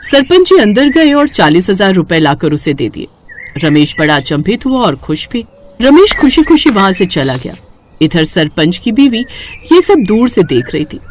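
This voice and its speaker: A woman's voice: medium pitch, big pitch swings, moderate pace, slight background noise. A woman narrating a scripted moral story for children.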